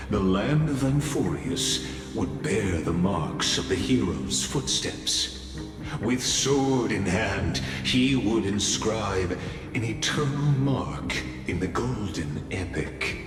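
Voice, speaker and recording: A man acting as the solemn narrator of an epic game legend, speaking in a grave, stately, measured way. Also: low-pitched. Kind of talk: scripted dramatic storytelling narration. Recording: long hall reverb, music underneath.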